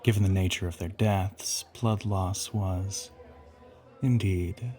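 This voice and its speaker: A man's voice: steady tone, slow pace, warm tone, soft background music. A man playing an ancient, suave male vampire in an intimate audio roleplay, teasing and smug, with formal, theatrical phrasing. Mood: solemn. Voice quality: low, breathy half-whisper.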